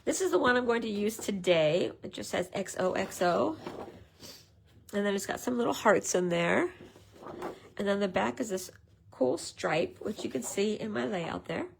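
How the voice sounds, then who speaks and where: Chatty craft demonstrator, woman, hoarse with a head cold, gravelly. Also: mid-range voice, expressive, moderate pace, a little hiss or hum, low-quality recording. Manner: chatty, greets viewers, many fillers. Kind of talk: casual live craft tutorial.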